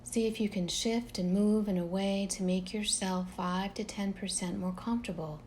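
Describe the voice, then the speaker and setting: Calm lady doing a guided meditation, medium pitch, gentle and unhurried w long gaps, bit of noise behind her. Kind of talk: guided relaxation meditation narration.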